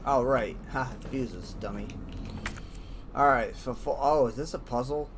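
A man's voice: medium pitch, measured pace. A man giving casual let's play commentary as a gaming host, informally thinking aloud. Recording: clearly noisy, game ambience behind.

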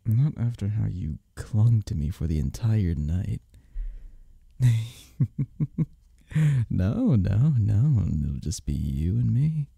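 A man playing a sleepy, affectionate, playful husband in bed, speaking softly and teasingly in an intimate ASMR roleplay, close to the mic. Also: fairly low pitch, vocal fry, husky, no room sound, full character voice. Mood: tender, playful. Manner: soft, drowsy, teasing, unhurried. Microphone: close, dry microphone.

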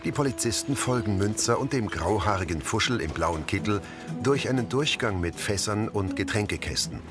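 A man's voice: lowish voice, expressive, moderate pace, over music. A man reading scripted audio description narration for a television show.

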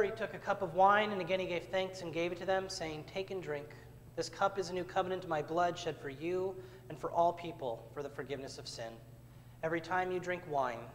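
A man serving as pastor, leading the communion liturgy in a measured, solemn way, recorded from a far camera in a church sanctuary. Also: a little high, slight background noise. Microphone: far camera at back of church. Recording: church livestream with long reverb.

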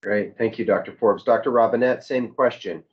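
A man's voice: fairly low pitch, moderate pace. A middle-aged man, a doctor moderating a panel discussion over a video call from an office. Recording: dull video-call audio.

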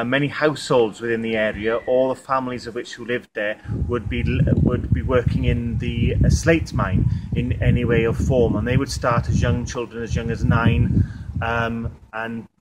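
A Welsh man in his thirties, a native Welsh speaker, answering interview questions outdoors, hesitant with many fillers and restarts. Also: medium pitch. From Wales. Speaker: tourism representative. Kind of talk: on-camera interview. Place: outdoor museum yard by stone buildings. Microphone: camera mic, outdoors. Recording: outdoor noise behind.

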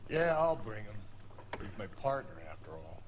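An actor playing a terse, bossy, sardonic police detective in a 1940s crime video game. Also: male voice, on the low side, big pitch swings, moderate pace, background noise. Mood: brusque, wry. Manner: terse, hard-boiled.

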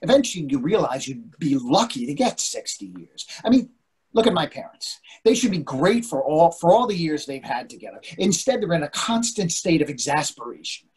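Man playing a husky, fretful older husband. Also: fairly high pitch, normal speed, heavily acted. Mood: exasperated, wry. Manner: animated, fretful, questioning. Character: anxious, bossy, needling husband in long marriage. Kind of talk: readers theatre play reading. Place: indoors on a video call.

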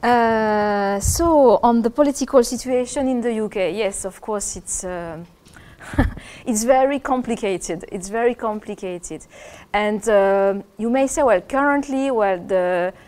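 A woman's voice: fairly high pitch, a little slow, a little mellow. A woman in her fifties, an economist answering audience questions in a seminar room, thoughtful and hesitant with many restarts. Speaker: economist giving a seminar talk.

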